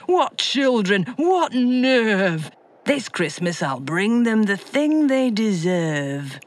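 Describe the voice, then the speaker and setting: A woman's voice: mid-range voice, wildly swooping pitch, measured pace, no room sound. A woman voicing an old male Santa, kindly at first and then furious at the children.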